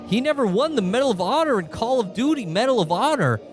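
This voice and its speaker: Man playing a high, swooping, exasperated guardian angel. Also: expressive, fast, soft background music. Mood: mock-grave. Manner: theatrical, swearing. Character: exasperated, foul-mouthed guardian angel showing an alternate world. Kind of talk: comedic radio play acting.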